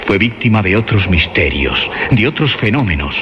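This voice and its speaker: A man narrating a horror radio play in a theatrical, suspenseful way, with emphatic phrasing and dramatic pauses. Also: fairly low pitch, very animated, background noise. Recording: dull lo-fi audio under music. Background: dramatic music.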